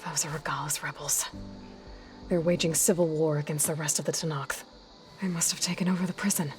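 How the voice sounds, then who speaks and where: An actress playing a practical, resolute young female scout in a naturalistic video game performance, measured and matter-of-fact. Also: deep voice, fairly even intonation, music in the background, lightly acted. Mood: hushed, alert.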